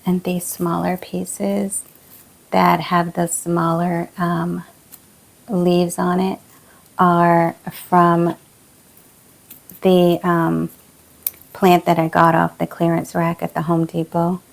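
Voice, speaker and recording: A woman with a hoarse, fading voice, chatting casually and softly as a plant channel host while she repots. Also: on the low side, takes it slowly, pauses often, rough recording. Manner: relaxed, soft-spoken, many fillers. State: hoarse, losing her voice. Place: indoor plant room.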